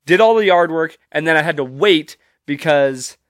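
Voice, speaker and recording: A man's voice: a little high, moderate pace, a bit bright, dry recording, studio quality. A young man hosting a solo podcast, stuffy and congested from allergies, talking casually and rambling with loose, profane humor. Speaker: podcast host.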